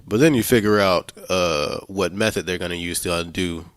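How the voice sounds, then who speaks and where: A man hosting a casual film podcast, talking in a halting way, searching for words and swearing freely. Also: sits low, no room sound. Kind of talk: casual movie review chat.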